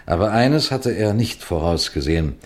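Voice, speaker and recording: An actor playing a seasoned, methodical detective chief in a 1960s German radio crime drama, lecturing with deliberate precision. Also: male voice, lowish voice, expressive, close to an everyday voice. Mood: measured explaining.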